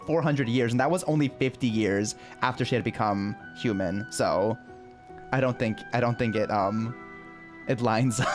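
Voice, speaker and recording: A game streamer giving casual playthrough commentary, informal and slangy, musing aloud. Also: mid-range voice.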